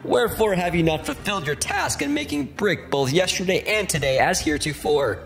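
A harsh taskmaster played by a man barks demands in a fairly high, animated voice. It is scripture drama, with some music behind him. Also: a little fast. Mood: demanding.

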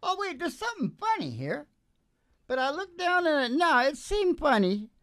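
Older man's halting, musing stage banter. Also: very high voice, sing-song, sharp tone, no echo, studio quality. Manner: halting, self-interrupting, musing. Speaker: musician hosting a live show. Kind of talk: casual rambling stage banter. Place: small indoor stage with curtain. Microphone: stage microphone on a stand, close up. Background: acoustic guitar.

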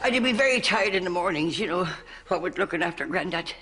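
A man's voice: high-pitched, expressive, fairly quick. A man playing a foul-mouthed, scheming older Irish mammy in a sitcom, with a brash, put-upon manner and an Irish accent. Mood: feigned weariness. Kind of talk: broad sitcom acting in drag. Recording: noisy studio sitcom sound.

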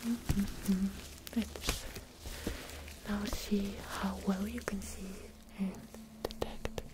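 Young female ASMR artist as examiner: whispered, very unhurried, gentle instructions, close-miked. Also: medium pitch, little pitch movement. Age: young adult. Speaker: ASMR host playing an eye examiner. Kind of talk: whispered ASMR medical roleplay. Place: small dim indoor room with curtain. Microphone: close ASMR microphone.

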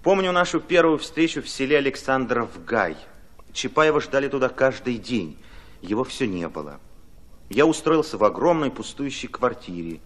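A man's voice: medium pitch, big pitch swings, moderate pace, a bit bright. An actor playing an army commissar who narrates his war memories reflectively in a radio drama. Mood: wistful, musing. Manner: reflective, storytelling.

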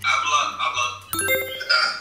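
A man, laid-back and pushy, full of slang. His voice is roomy and distant. Music is clearly heard behind him. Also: mid-range voice, little pitch movement, takes it slowly. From New York. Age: late teens. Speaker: stranger on video chat app. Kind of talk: casual street slang chat.

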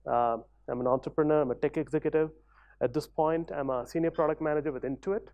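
A man's voice: fairly high pitch, fairly even intonation, fast talker, narrow, tinny audio. A man in his thirties giving a conversational talk at a conference lectern, hedging often with fillers like "sort of". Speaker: conference speaker. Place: large conference hall at a lectern.

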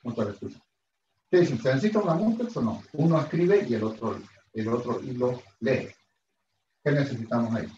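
A man's voice: medium pitch, fairly quick, warm tone, slight background noise. Man, middle-aged, university lecturer, chatty, headset mic, echoey room, muffled call audio. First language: Spanish. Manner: chatty, self-deprecating, asks students questions often. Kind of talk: online class lecture. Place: room at a whiteboard, indoors. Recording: roomy, slightly dull video-call audio.

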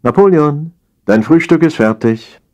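A man's voice: a little high, animated, unhurried, darkish tone, voice pushed up. A man voicing a nagging, put-upon housewife empress who scolds and complains, in a comic audio story. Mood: impatient.

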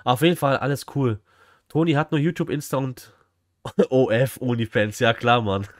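A man's voice: medium pitch, steady tone, normal speed, dry recording, studio quality. A young man chatting casually and informally as a live streamer reacting from a room at home, with lots of slang. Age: young adult. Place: small indoor room at home.

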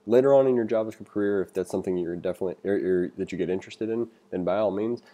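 A man's voice: lowish voice, racing, dark tone, highs cut off. A man working as a programming tutor, reading along from a text and adding casual, opinionated asides in a conversational way. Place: voice-over for a screen recording.

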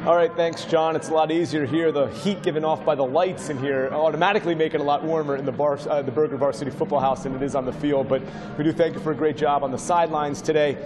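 A man's voice: a little high, steady tone, fast, in a large hall, background noise. A man hosting a postgame studio show, steering questions to the panel. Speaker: studio host.

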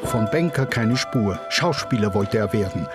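Male reporter narrating over loud music. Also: on the low side, animated, moderate pace. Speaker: TV culture reporter. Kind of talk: scripted news narration.

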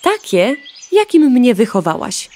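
A cheeky, self-absorbed young hen in a children's radio play. The delivery is nonchalant and flippant, with lively swings of pitch. Faint birdsong and background noise sit behind the voice. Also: female voice, a little slow.

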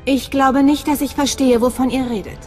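An actress playing a cool, professional imperial secret agent in a sci-fi game, speaking in a controlled, formal way. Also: female voice, high-pitched, steady tone, moderate pace, a little mellow, soft background music, lightly acted. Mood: cool, businesslike. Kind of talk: dramatic sci-fi game dialogue.

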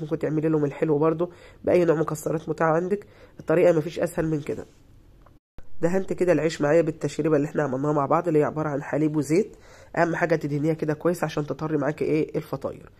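A woman with an Egyptian Arabic accent, hoarse from being ill, giving a chatty, reassuring voice-over for a cooking tutorial. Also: low-pitched, steady tone, rapid-fire, warm tone. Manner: chatty, reassuring, repeats herself. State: hoarse from illness. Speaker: cooking channel host.

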